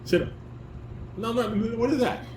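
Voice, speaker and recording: A man's voice: very high voice, measured pace, darkish tone. A middle-aged man casually coaching a read-through, reading stage directions aloud and correcting step by step in a roomy recording. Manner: instructive, demonstrates and corrects step by step. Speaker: father directing a family table read. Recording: roomy, echoey home recording with background noise.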